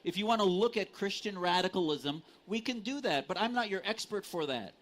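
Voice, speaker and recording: A man's voice: high voice, lively intonation, brisk, fairly bright tone. A man from the United States in a live panel debate, speaking earnestly and insistently with formal vocabulary. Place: conference stage.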